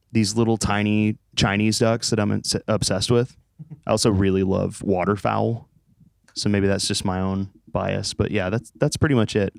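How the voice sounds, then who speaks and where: Smooth low-voiced young American man. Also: dry recording. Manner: joking, rambling, sweary. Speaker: band musician being interviewed. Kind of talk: casual chat.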